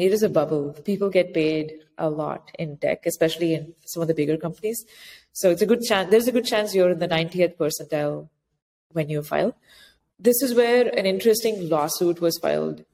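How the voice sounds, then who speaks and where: A woman's voice: lowish voice, a little mellow. A woman being interviewed as a guest, giving practical advice in an informal, conversational way, step by step with examples. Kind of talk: conversational explanatory interview answers. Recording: noisy, very reverberant room, clicks.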